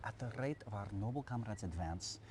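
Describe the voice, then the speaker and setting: An actor playing a proud, blustering Soviet military commander, emphatic and theatrical in a game cutscene. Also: male voice, on the low side, animated, brisk, a little mellow, slight background noise, natural voice. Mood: boastful. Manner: emphatic, boastful.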